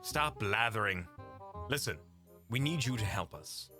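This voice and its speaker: A man's voice: lowish voice, expressive, unhurried, over music. A man playing a stern, blunt adventurer in an audio roleplay. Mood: stern, impatient.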